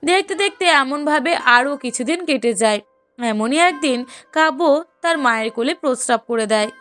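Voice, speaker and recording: A woman's voice: sits high, expressive, a little fast, a bit bright. A woman reading scripted storytelling narration for a moral-story cartoon.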